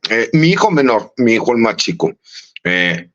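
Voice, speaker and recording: A man in his late forties, a lawyer, giving casual analysis in an interview and speaking warmly and familiarly to the host. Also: medium pitch, very animated, normal speed, dull audio. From Mexico. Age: late 40s. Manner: warm, conversational, often says "mi querido Manuel". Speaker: lawyer and political analyst guest.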